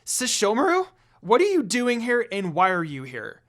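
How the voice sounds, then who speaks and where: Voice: mid-range voice, wildly swooping pitch, normal speed, fairly bright tone, pitched above the performer's own voice. A narrator voicing a kind, outspoken young priestess who is earnest and easily flustered. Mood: embarrassed, indignant.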